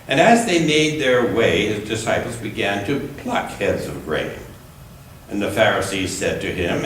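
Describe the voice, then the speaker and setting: A man reading scripture aloud at a church service in a measured, deliberate way. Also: medium pitch, fairly bright tone. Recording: echoey room, distant mic, faint noise.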